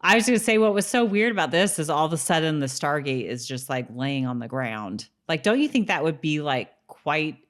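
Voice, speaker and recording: A woman's voice: deep voice, lively intonation, brisk, hardly any pauses. An American woman co-hosting a podcast in a conversational recap style. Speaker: podcast co-host. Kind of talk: casual podcast chat with some read summary.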